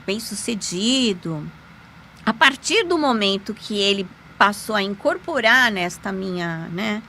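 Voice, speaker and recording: A woman, a podcast guest, telling a personal story in an animated, emphatic way with sudden pitch jumps. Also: vocal fry, a little hiss or hum. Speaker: podcast guest, lawyer and magic teacher. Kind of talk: conversational storytelling.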